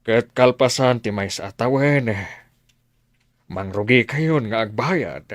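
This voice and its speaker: An actor with an Ilocano accent playing a wealthy older benefactor in a radio drama, counselling in a deliberate way. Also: male voice, mid-range voice, no room sound, clean studio sound, natural voice. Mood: advising. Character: wealthy elder benefactor giving measured business advice.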